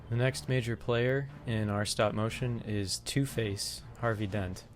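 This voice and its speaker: A man presenting a vlog to his viewers as an amateur voice actor. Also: lowish voice. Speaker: video creator and amateur voice actor.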